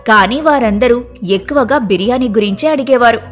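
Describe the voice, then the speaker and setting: A woman's voice: a little high, fairly quick, faint music behind. A woman narrating a scripted moral story as a storyteller.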